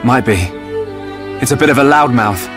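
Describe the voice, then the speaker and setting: A man's voice: mid-range voice, expressive, normal speed, music in the background, lightly acted. A man playing an earnest, thoughtful young hero in a video game. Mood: quietly resolved. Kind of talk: video game cutscene acting.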